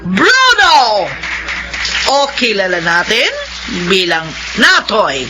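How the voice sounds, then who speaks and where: A woman's voice: mid-range voice, wildly swooping pitch, slow, background noise. A woman playing a proud ceremony announcer, formal and celebratory, in a radio drama. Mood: proud, ceremonial. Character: proud female ceremony announcer presenting an academic award.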